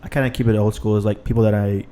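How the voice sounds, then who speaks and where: Man, thirty, podcast host, low voice, mellow dark tone, relaxed, rambling fillers. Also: rapid-fire. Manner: laid-back, trailing off with fillers. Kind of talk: casual chat.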